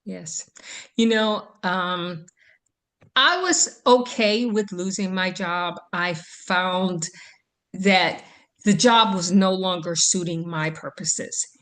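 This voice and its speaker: A woman co-hosting a podcast, speaking thoughtfully and hesitantly with frequent pauses. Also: lowish voice, fairly bright tone. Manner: slow, thoughtful, hesitant with many ums. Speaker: podcast co-host. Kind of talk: casual chat.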